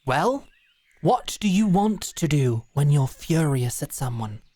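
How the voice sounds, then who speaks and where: A woman's voice: sits low, big pitch swings, slow pace, mellow, no echo, heavily acted. A woman playing a stern, proud female knight in a fantasy audio drama, with a dry, commanding, taunting manner. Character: stern, proud woman knight, brusque and teasing sparring mentor.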